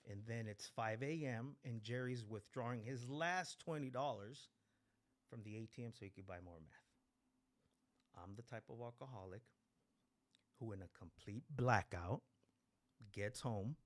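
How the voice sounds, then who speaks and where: A man in his forties with a fairly low voice shares a candid personal testimony. He talks bluntly, swears freely and repeats key phrases to drive them home. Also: unhurried, long pauses. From Mexico, raised in East Los Angeles. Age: 40s. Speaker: guest speaker at a recovery meeting.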